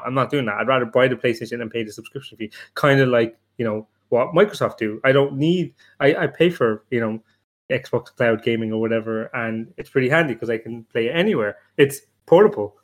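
A man's voice: medium pitch, fast talker. A man in casual, joking panel chat, bantering and sarcastic, with the odd swear word. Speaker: podcast panelist.

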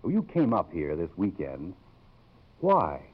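Gentle middle-aged college president, lowish expressive voice, courteous, muffled vintage radio drama recording. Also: male voice, measured pace, husky, full character voice. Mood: hesitant, tender. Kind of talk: 1950s radio drama acting.

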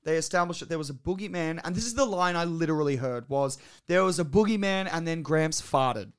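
Man, podcast co-host, higher voice, joking plot recap, sweary and loose. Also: a little fast, smooth. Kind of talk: casual recap chat.

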